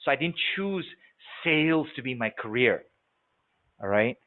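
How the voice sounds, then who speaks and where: A man's voice: mid-range voice, expressive, a little slow. A man in his forties from Singapore giving a live webinar sales training, talking conversationally and often checking in with his listeners. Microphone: video call. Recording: tinny phone-like audio.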